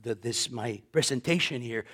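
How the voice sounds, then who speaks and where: A man's voice: mid-range voice, normal speed. A man in his fifties preaching, telling personal stories with repetitions, laughter and acted-out voices of others. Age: 50s. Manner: animated, repeats words, laughs often, acts out quoted voices. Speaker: pastor. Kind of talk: sermon with personal storytelling.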